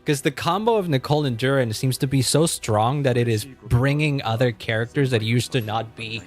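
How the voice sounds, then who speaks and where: A man's voice: medium pitch, brisk, faint music behind. A man live-streaming a game, chatting casually and thinking aloud in an informal way, with casual swearing. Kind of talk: casual live-stream chat while gaming.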